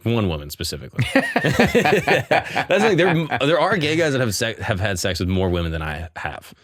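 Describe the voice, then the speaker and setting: A man's voice: mid-range voice, huge pitch swings, moderate pace, a bit bright, no echo, clean studio sound. A man in his thirties hosting a casual comedy chat, telling rambling stories full of fillers and easy laughs. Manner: loose, laughing, filler-heavy storytelling. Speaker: podcast host.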